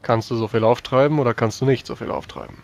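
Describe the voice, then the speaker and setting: A man's voice: mid-range voice, fairly even intonation, quick pace, creaky, darkish tone, slight background noise, lightly acted. A man playing a blunt, impatient commander in a fantasy tabletop roleplay, speaking curtly and giving orders. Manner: curt, commanding. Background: background music.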